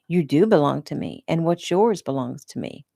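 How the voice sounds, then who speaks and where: A woman's voice: deep voice, animated, normal speed, vocal fry, dark tone, no echo, studio quality, full character voice. A woman reading the part of a cruel, possessive middle-aged mother with a contemptuous, cutting manner. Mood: scornful.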